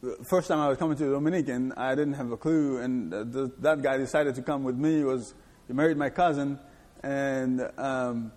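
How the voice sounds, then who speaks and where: A man from Haiti, a guest in an interview, telling his life story in a halting way, with restarts and laughs. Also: medium pitch, a little mellow. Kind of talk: personal testimony in a church interview. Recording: reverberant hall.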